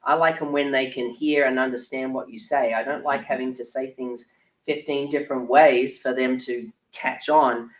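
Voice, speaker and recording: An older woman from Australia speaks at a conference workshop, her voice low and croaky from a cold. She talks in a chatty, self-deprecating way, with ums and throat clearing. The sound is dull and roomy, picked up from across the room. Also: fairly even intonation, moderate pace. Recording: roomy, distant, dull recording.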